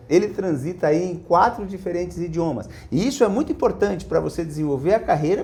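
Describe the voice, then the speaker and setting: A man's voice: a little high, creaky, darkish tone. A man hosting an interview podcast, upbeat and speaking directly to his listeners. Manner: upbeat, addresses the audience directly. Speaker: podcast host.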